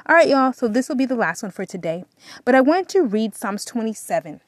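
A woman's voice: on the high side, big pitch swings, a little fast, no room sound. Woman giving earnest devotional talk, dry sound. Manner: earnest, exhorting, repeats phrases for emphasis. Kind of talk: devotional encouragement talk with prayer.

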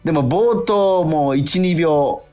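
A middle-aged man hosting a radio show in casual, animated, chatty talk with a co-host. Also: a little high, slow. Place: radio studio. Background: music.

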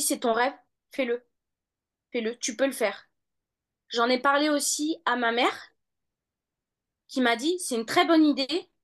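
A woman in her thirties answers interview questions about her studies in a high voice. She talks casually and hesitantly, restarting sentences and filling pauses. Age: 30s. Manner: hesitant, many fillers and restarts. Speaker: law student interviewee.

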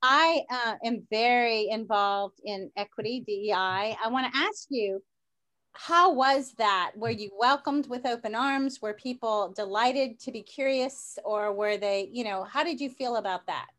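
Enthusiastic podcast host from New Orleans, a woman with a raised pitch. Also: unhurried, fairly bright tone. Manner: enthusiastic, chatty. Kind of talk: podcast interview.

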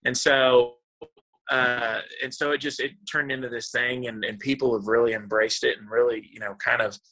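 A country singer-songwriter in his thirties, born in Texas and raised in the Dominican Republic, talking in a relaxed interview with frequent pauses and "you know.". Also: mid-range voice, poor audio.

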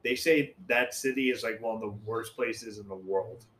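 A man's voice: on the low side, moderate pace, a bit bright, a little hiss or hum. A young man chatting bluntly and swearing a lot in casual podcast talk. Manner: profane, blunt, teasing. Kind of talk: casual chat.

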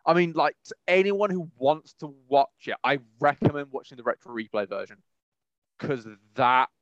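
A man's voice: fairly high pitch, normal speed, a bit bright, dry recording, dull audio. A man chatting casually as a podcast co-host in a rambling, enthusiastic way. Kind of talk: casual chat.

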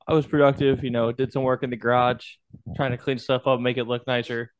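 Young man with mid-pitched voice, chatting. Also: level tone, fairly quick, a little hiss or hum, poor audio. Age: young adult. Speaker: sports podcast co-host. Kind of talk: casual chat.

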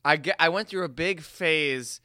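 A man's voice: fairly high pitch, moderate pace, bright, forceful tone, no echo. A man co-hosting a podcast in a casual, conversational chat. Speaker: podcast co-host.

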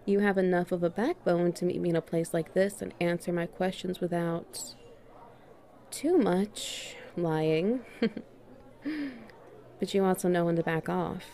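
A woman playing a confident, strict and subtly dominant female boss in a flirty audio roleplay, speaking in a measured, teasing way with deliberate pauses. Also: on the low side, creaky, warm tone. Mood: pleased, teasing. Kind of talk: flirty one-sided roleplay dialogue.

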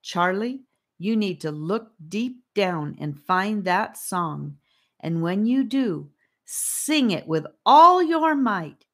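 A woman's voice: mid-range voice, expressive, takes it slowly, pitched above the performer's own voice. A woman reading a storybook, voicing a serene, earnest girl who encourages her friends. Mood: earnest, stirring.

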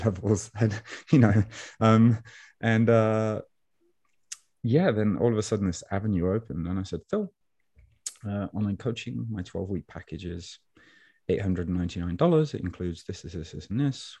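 A man who coaches fitness online, talking as a podcast guest over a video call and telling stories in a loose, animated way with laughs. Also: deep voice. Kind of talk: casual storytelling interview. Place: Japan.

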